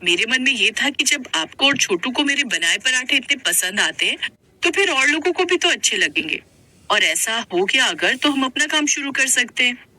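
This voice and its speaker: A woman playing a practical, hopeful young housewife and mother in a Hindi moral-story cartoon, with an expressive, questioning manner. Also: sits high, normal speed, no room sound, heavily acted, rough recording.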